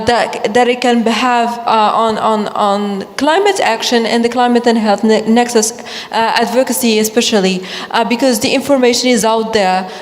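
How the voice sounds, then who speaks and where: A young woman from Morocco whose first language is Arabic, speaking earnestly on a panel and often restarting her words. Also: a little high. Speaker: panelist, youth climate ambassador. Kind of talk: panel discussion. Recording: long hall reverb.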